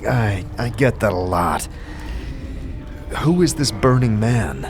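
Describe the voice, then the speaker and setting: A man playing a calm, guarded adventurer in an audio drama, speaking in measured, terse phrases. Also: lowish voice, faint music behind.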